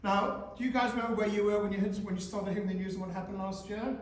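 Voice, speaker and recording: A man in his forties giving a talk to teenagers in a school hall, animated and questioning the audience. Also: high-pitched, quick pace, slight background noise. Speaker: guest speaker. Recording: echoey hall, speaker far from mic.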